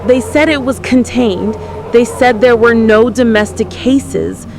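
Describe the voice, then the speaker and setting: A woman playing an earnest, fearful conspiracy-believing radio caller with an American accent, halting and insistent. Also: on the high side, steady tone, background noise, natural voice. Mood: convinced, ominous. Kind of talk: dramatised radio call-in testimony.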